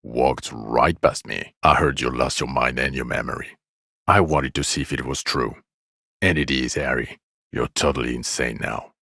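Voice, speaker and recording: Game role: exasperated, sarcastic cop, male, gravel-throated with heavy fry, contemptuous weary scolding, close dry studio sound. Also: rumbling low, moderate pace, pushed and bright, dry recording, full character voice. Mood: bitter contempt. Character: bitter, exasperated, foul-mouthed male police detective, scathingly sarcastic. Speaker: video game voice actor. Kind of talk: video game character dialogue.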